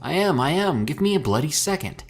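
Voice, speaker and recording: A man voicing Mika, a quick-tempered young man shouting urgently into a radio. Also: medium pitch, very animated, pitched above the performer's own voice, lightly acted. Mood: frantic.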